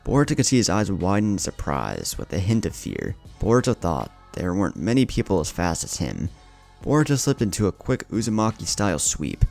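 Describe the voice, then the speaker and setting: Deep, gravelly male story narrator. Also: a little mellow. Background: music bed.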